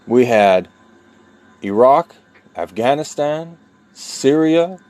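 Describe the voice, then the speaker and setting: A man from New York speaking a personal monologue, deliberate and emphatic, with long pauses and repeated key points. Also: medium pitch, animated, darkish tone, no echo. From New York, USA. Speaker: vlogger telling his own story.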